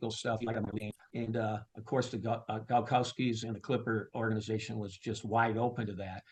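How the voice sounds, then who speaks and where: A man's voice: lowish voice, level tone, moderate pace, darkish tone, husky. A man who works as a sports public address announcer, giving casual, conversational interview answers.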